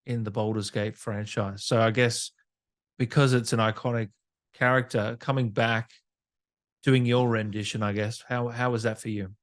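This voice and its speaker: A man's voice: mid-range voice, level tone, normal speed. A man hosting a casual gaming podcast interview, putting questions to a guest in a conversational way.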